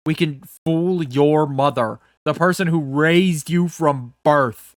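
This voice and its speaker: Brash male podcast co-host, loud and smooth-voiced, hiccuping, dry close mic. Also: a little high, takes it slowly, no echo. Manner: loud, brash, swears often. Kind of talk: casual chat. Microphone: home studio microphone.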